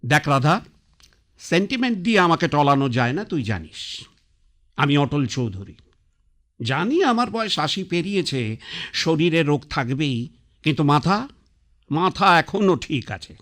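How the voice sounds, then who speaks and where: An actor playing a proud, stubborn man past eighty, ill in bed and brooding over his life, in a radio play with gruff, slow reflective speech. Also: on the high side, sing-song, a bit bright. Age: older. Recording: broadcast radio play with faint music.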